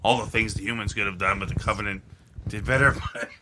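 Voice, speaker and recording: A man's voice: lowish voice, fairly even intonation, fast, noisy background. A man co-hosting a podcast in casual chat, with a joking, crude and slangy way of talking. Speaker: podcast co-host.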